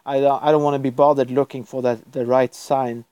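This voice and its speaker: A man's voice: medium pitch, little pitch movement, a little fast, warm tone, smooth, no echo. A man from Norway, chatting casually on a live stream at his computer, rambling and chuckling often. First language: Norwegian. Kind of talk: casual livestream chat.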